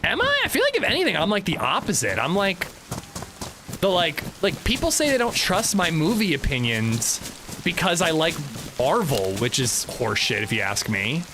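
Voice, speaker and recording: Chatty animated man streaming a game. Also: a little high, normal speed, background noise. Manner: rambling, chatty, swears, reads chat aloud. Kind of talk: casual livestream chat while gaming.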